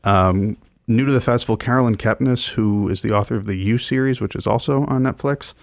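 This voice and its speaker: Man, low voice, radio co-host, informal event rundown, enthusiastic, halting delivery, dry acoustic. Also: gravelly, no room sound. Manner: hesitant, frequently pausing and repeating words. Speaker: radio co-host and festival programmer. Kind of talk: informal radio program talk, explaining events.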